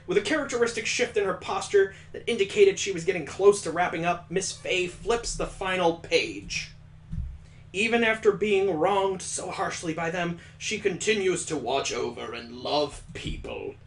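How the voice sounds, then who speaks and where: A man with a slightly high voice reads a story game's text aloud in a mock-solemn tone. He keeps interrupting himself with dry, sarcastic jokes about what he's reading. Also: moderate pace. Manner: wry, mocking asides between dramatic readings. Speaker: let's play host.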